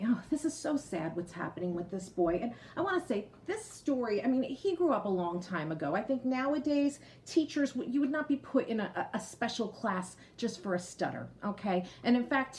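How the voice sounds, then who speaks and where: A woman's voice: medium pitch, darkish tone, a little hiss or hum. Woman teacher reading aloud to children. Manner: explains simply, with frequent checking "okay?" and recaps. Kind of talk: explanatory read-aloud lesson for young children.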